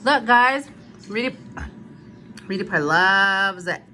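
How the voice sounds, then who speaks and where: A woman in her thirties talks her way through cooking in a home kitchen, recorded close on a phone. Her voice sits at an ordinary middle pitch, and she fills her chatter with fillers and small apologies. A faint household hum runs under her voice. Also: animated, slow pace, sharp tone. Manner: chatty, self-narrating, filler-heavy, apologetic. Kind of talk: casual cook-along chat.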